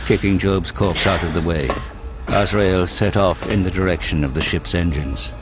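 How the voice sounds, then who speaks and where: A man with a low voice narrates an action-laden audio drama. The reading is scripted and dramatic, set over clearly audible music. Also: moderate pace.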